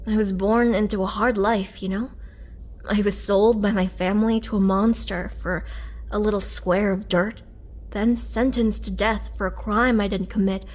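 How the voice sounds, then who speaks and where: A woman playing a gentle, remorseful young vampire in an intimate roleplay audio drama, speaking softly and earnestly with halting, sometimes whispered delivery. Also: mid-range voice, natural voice.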